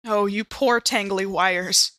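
Woman, tabletop game player. Casual and conversational, bright tone, very dry recording. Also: medium pitch, steady tone, slow, dry recording.

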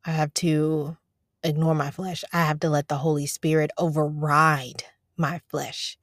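Low-voiced woman preaching from a car. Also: flat delivery, measured pace, dry recording, clean studio sound. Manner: conversational, preachy, addresses listeners as y'all. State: voice going raspy. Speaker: podcast host. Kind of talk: devotional podcast sermon. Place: parked car.

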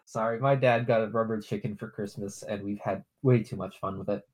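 A woman's voice: extremely low pitch, steady tone, brisk, a little mellow. A young adult woman, a dungeon master, chatting casually on a podcast, rambling, swearing and laughing often.

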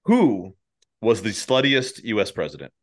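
A man's voice: on the low side, very animated, unhurried. A man hosting a podcast in casual chat, with an irreverent, sweary way of talking. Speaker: podcast host.

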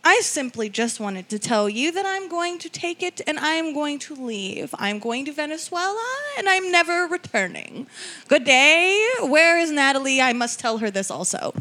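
A woman's voice: sits high, very animated, moderate pace, bright, forceful tone, heavily acted. A woman playing a brazen, melodramatic murderous thief with theatrical, mock-formal speech in an improvised radio parody. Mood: haughty.

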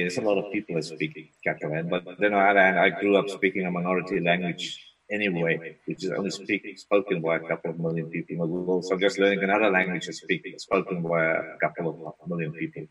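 A man whose first language is Afrikaans, a software consultant talking as a guest on a remote interview in a relaxed, rambling way. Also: deep voice, far from the mic, poor audio. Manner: relaxed, rambling with frequent restarts. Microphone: video call.

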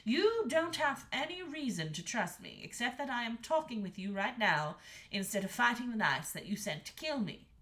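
A woman voicing a gentle, apologetic male dragon in a storybook reading, polite and contrite. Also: fairly high pitch, sing-song, moderate pace. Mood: polite reasoning.

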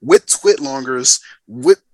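A man's voice: mid-range voice, expressive, slow pace, a little mellow. A man in his thirties, a podcast guest, telling a story in emphatic casual chat and repeating lines for effect. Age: 30s.

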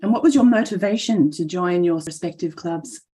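Warm, husky-voiced woman host. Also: fairly low pitch, normal speed, low-quality recording. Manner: smooth read promotional delivery. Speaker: podcast host. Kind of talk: scripted narration. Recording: faint music behind voice.

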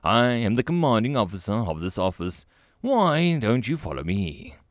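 A rigid, businesslike captain, voiced by a male narrator in a dry, close reading. He speaks low and measured with formal wording. There is little warmth in the delivery. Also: very animated, no echo.

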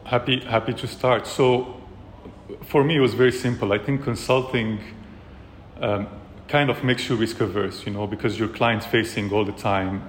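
A man from Morocco, a startup co-founder, telling his story in a measured way with many fillers. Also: on the low side, echoey room, in a large hall, slight background noise. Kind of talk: casual interview.

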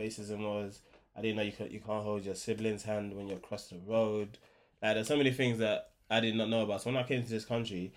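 A man with a lowish voice who grew up in Ghana talks in a casual group discussion. He tells his stories in a steady, reflective way and thinks back as he speaks.

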